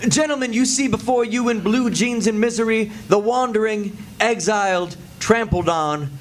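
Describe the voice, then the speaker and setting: A man's voice: high-pitched, moderate pace, close to an everyday voice. A man playing an older sly con man posing as an exiled French king, grandiose, folksy and mock-mournful in live radio theatre. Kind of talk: live old-time radio theatre acting. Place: live stage before an audience. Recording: live stage, faint background noise.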